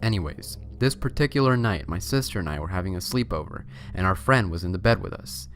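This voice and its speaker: A man's voice: sits low, lively intonation, brisk, a bit bright, slight background noise. A man hosting and reading a scary story as narration, with a theatrical, suspenseful delivery. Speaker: host and story reader.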